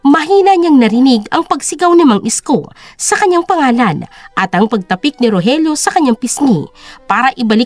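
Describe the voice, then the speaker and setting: High, lively woman narrator. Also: no echo. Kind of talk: dramatic scripted story narration.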